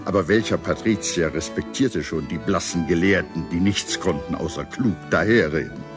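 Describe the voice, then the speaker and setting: Low-voiced male documentary narrator. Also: moderate pace. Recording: music playing behind the voice. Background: background music.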